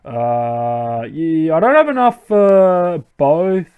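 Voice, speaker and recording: A man's voice: fairly high pitch, animated, very slow, smooth, narrow, tinny audio. A young man hosting a let's play, giving casual commentary and thinking aloud with many ums.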